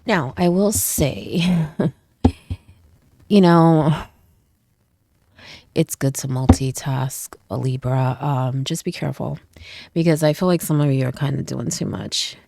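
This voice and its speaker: A woman's voice: extremely low pitch, takes it slowly, vocal fry, pauses often, warm tone, dry recording, clean studio sound. A woman doing a casual tarot reading, a little stuffy from a cold, chatty and often checking in with "okay?" and "right?". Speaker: tarot reader.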